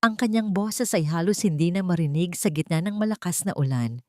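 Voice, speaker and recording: Low-voiced woman narrating a dramatic story. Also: a little fast, dark tone, no echo, clean studio sound.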